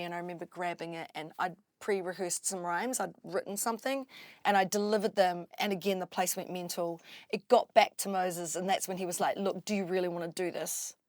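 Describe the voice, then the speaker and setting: Low-voiced New Zealand woman MC. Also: no room sound, clean studio sound. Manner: lively, animated storyteller who acts out quotes. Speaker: drum and bass MC, guest. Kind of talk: casual interview storytelling.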